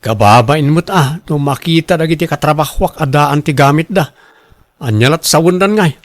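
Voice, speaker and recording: A man's voice: on the high side, normal speed, dry recording, clean studio sound, close to an everyday voice. A man acting a proud, defensive husband and father in a radio drama, speaking with an Ilocano Filipino accent. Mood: insistent, proud.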